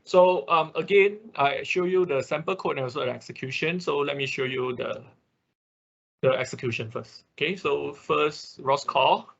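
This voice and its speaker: Higher-voiced male online instructor, dull audio. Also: moderate pace, creaky, a bit bright. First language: not English. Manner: step-by-step explaining, often checking with "okay" and "right". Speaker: instructor of an online robotics programming class. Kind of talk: live tutorial with screen demonstration.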